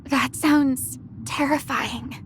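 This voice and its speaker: Very high-pitched earnest anime girl. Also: steady tone, very slow, a little hiss or hum. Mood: uneasy. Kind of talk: anime-style game cutscene acting.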